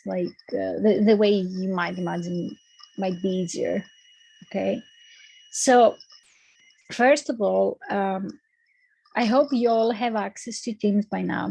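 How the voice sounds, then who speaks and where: A woman lecturer with a Greek accent giving online class announcements, repeating words and filling pauses with um and okay. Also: mid-range voice, gravelly, dark tone, husky, rough recording. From Greece. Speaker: university lecturer. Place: recorded video call. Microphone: computer mic on a video call.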